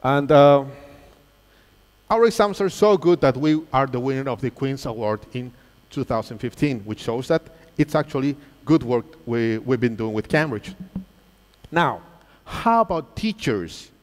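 A man's voice: mid-range voice, measured pace. Man presenting on a reverberant stage. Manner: lively, engaging, asks the crowd questions. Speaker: presenter at a teachers' convention. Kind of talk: live quiz-style presentation to an audience. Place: large auditorium stage. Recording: reverberant hall sound.

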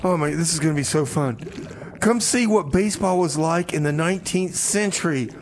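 Man playing slightly high-voiced, casual radio sidekick. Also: normal speed, a little hiss or hum, natural voice. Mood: easygoing announcing. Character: hapless time machine mechanic working at a radio station. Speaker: radio co-host. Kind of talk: comedy radio theater.

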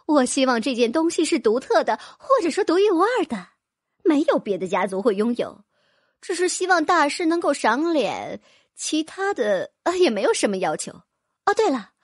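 A woman's voice: sits high, no echo, studio quality. A woman voicing a fussy, fawning rich noblewoman who gushes with exclamations. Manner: gushing, exclamatory, wheedling.

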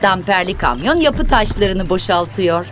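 A woman's voice: mid-range voice, expressive, a little slow, a bit bright, a little hiss or hum. A woman narrating a children's cartoon, speaking expressively in simple, instructive phrases as if to young viewers and characters. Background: music.

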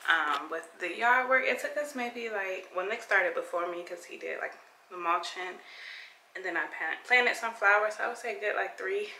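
A young woman chatting casually to camera at home in a relaxed, rambling way full of fillers. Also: mid-range voice, moderate pace, slight background noise. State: out of breath. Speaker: vlogger.

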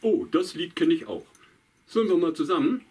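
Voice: mid-range voice, sing-song, normal speed, darkish tone, husky. A puppeteer voicing the friendly, stammering companion of a dog in a puppet show. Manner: friendly, stammers, asks questions. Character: helpful, kindly friend of the dog.

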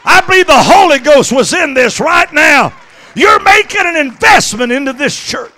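A middle-aged man preaching an impassioned Pentecostal sermon into a handheld microphone, exhorting and swinging between conversational asides and shouts. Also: very high-pitched, big pitch swings, moderate pace. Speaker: evangelist preaching. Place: church sanctuary stage at a pulpit.

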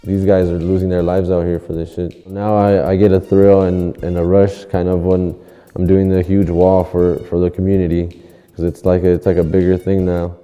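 A man's voice: low voice, little pitch movement, normal speed, clean tone, phone-quality sound. A man, a graffiti artist talking in an interview in laid-back street slang, full of restarts. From Los Angeles. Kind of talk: interview monologue. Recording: long hall reverb, faint music.

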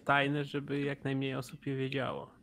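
A man's voice: mid-range voice, completely flat delivery, normal speed, a bit bright, a little hiss or hum. A man playing a tabletop role-playing game, speaking casually in character.

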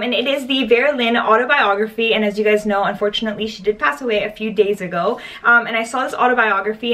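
A bubbly young woman talks into her camera at home, showing off thrift finds in a gushing, chatty way. Her voice sits a little high, and she fills it with "like" and big praise. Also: a bit bright. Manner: gushing, chatty, full of "like" and "stunning". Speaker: vlogger. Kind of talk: casual haul vlog. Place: bedroom at home.

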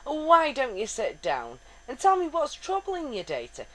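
Woman voicing a warm, gentle counselor. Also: high-pitched, wildly swooping pitch, a little slow, pitched above the performer's own voice, full character voice, poor audio. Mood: inviting.

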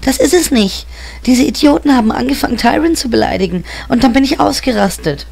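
A man's voice: very high-pitched, animated, brisk, creaky, darkish tone, pitched above the performer's own voice, exaggerated voice. A man voicing a hot-tempered but respectful young girl who answers meekly and defensively in an audio story. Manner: meek, defensive.

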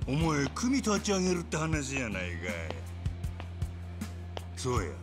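An actor playing a menacing, manic yakuza brawler in a video game, with a Kansai accent and a drawling, mocking delivery that veers between quiet threat and shouting. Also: male voice, on the high side, big pitch swings, a little slow. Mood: sly, casual menace. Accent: Kansai Japanese. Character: menacing, manic adult male yakuza brawler, gleefully taunting. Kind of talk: dramatic game cutscene acting. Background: background music.

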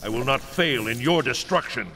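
An actor playing a stern, righteous thunder god in a video game, solemn and commanding. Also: male voice, mid-range voice, lively intonation, normal speed, noisy background, close to an everyday voice.

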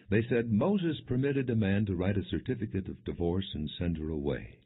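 A man narrating scripture as an audiobook in a measured, deliberate, expressive way. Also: deep voice, husky. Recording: muffled, phone-like band-limited audio.

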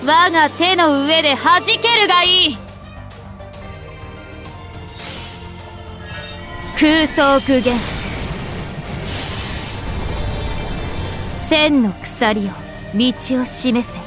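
Regal, slow-spoken spirit princess. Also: female voice, high voice. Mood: commanding. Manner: formal, measured, elegant. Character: regal, serene young female planetary spirit princess. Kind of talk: scripted anime game voice lines. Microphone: studio microphone. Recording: music behind a close dry studio voice.

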